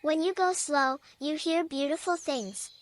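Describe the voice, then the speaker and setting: A performer voicing a gentle young forest animal in a bedtime story, with a childlike voice and simple, deliberate phrasing. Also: high voice. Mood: gentle, curious. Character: gentle young forest animal friends, slow snail and companion. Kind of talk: bedtime story character dialogue. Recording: nature ambience with birdsong behind. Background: birdsong and forest ambience.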